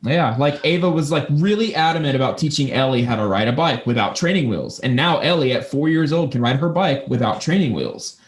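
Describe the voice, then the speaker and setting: A man's voice: on the high side, lively intonation, brisk, hardly any pauses. A man chatting informally as a podcast co-host, emphatic and sweary while telling everyday stories. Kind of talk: casual chat with personal anecdotes. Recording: roomy, echoey room sound.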